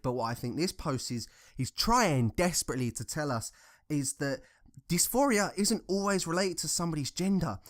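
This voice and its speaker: Young southern English man, mid-pitched voice, casual online commentator. Sarcastic, informal, swears freely while ranting. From England. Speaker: commentator reacting to social media posts.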